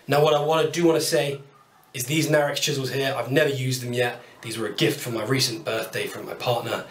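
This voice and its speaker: A man's voice: medium pitch, steady tone, a little fast, recorded from a distance, slight background noise. A man in his thirties with a British accent, chatting upbeat and self-deprecating as he walks through his woodworking tools. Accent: British English. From United Kingdom. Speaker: woodworking YouTuber. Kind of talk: casual tool walkthrough to camera. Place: small home workshop, indoors. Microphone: camera mic at a distance. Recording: roomy workshop sound, voice off-mic.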